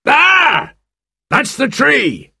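A man voicing an angry, greedy pirate in a storybook, shouting and jeering. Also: very high-pitched, wildly swooping pitch, drawn-out words, creaky, no room sound, clean studio sound, voice pushed up, full character voice. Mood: excited cry. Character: angry greedy male pirate, loud and sneering.

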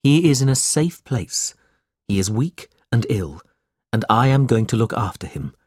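A man's voice: medium pitch, very animated, measured pace, a little mellow, slightly rough, dry recording, clean studio sound. A man voicing a brave, protective young man in a storybook, speaking earnestly and with defiance.

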